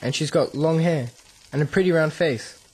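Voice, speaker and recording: A woman's voice: low-pitched, normal speed, a little mellow, slightly rough, rough recording. A woman playing a shy, lovesick teenage younger brother in a radio drama, with hesitant, reluctant short answers. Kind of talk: radio drama acting for English learners.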